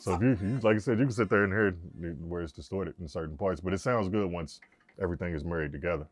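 A man in his forties with a deep voice, a mix engineer casually explaining his work in a studio control room, relaxed and slangy. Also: quick pace. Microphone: lapel mic.

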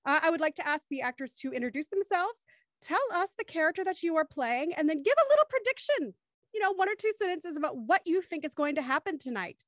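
A young woman with a very high voice hosts a livestream in an upbeat style. She is close on a studio mic, and the sound is dry. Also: very animated, quick pace, dry recording. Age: young adult. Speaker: show host. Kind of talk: upbeat livestream hosting, introducing guests. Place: small room at home, video call. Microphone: studio microphone on a stand, headphones.

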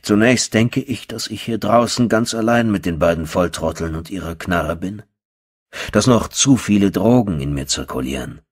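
A male audiobook narrator with a very low, rough-edged voice. He reads a first-person horror story dramatically, brooding and theatrical in his delivery. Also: animated, normal speed.

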